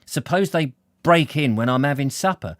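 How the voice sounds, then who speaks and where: A man's voice: fairly high pitch, expressive, normal speed, no echo, clean studio sound, pitched above the performer's own voice, natural voice. A man voicing a nervy, defiant young man from a novel, with agitated, blustering, slangy talk. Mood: blustering, threatening.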